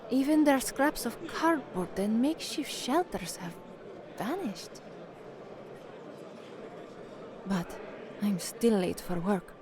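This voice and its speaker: A young working-class woman in an audio drama, a trainee newsreader with a fairly high voice. She is earnest and anxious beneath her careful delivery, over a noisy ambient background. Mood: weary, uneasy. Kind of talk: audio drama acting with first-person narration.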